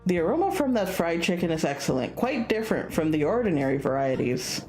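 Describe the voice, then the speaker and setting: A woman's voice: on the low side, sing-song, brisk, dark tone. A woman voicing a proud, aloof old male tiger spirit in a visual novel. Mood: aloof, appraising. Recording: music behind the voice.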